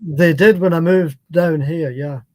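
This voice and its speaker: A man's voice: fairly high pitch, a little slow. A man from Scotland living in England, chatting easily on a video call and often repeating himself. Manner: easygoing, repeats himself, agreeable. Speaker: guest. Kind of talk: casual chat.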